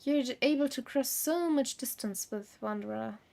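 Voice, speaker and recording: A woman giving casual gameplay commentary as a streamer, chatty and thinking aloud in halting bursts. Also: sits high, vocal fry, mellow. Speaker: game streamer.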